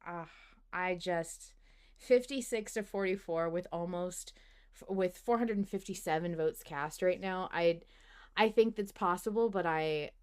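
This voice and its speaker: A woman hosting a podcast, debating in casual fan discussion, animated and often interrupting herself as she thinks aloud. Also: lowish voice, measured pace, clear, resonant tone. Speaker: podcast host.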